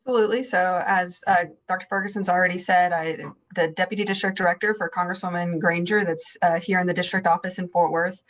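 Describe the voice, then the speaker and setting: A woman's voice: on the low side, fairly even intonation, fast, few pauses, fairly bright tone, low-quality recording. A woman in her thirties, a congressional staffer, giving a matter-of-fact account of her background and work. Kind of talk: panel introduction.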